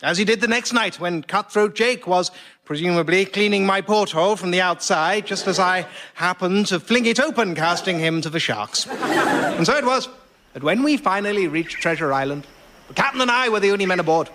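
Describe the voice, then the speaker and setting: An actor playing a pompous, cheerfully oblivious gentleman adventurer, telling a florid old-fashioned tale in a radio sketch. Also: sits high, animated, normal speed. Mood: blithe storytelling. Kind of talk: comic storytelling, radio sketch.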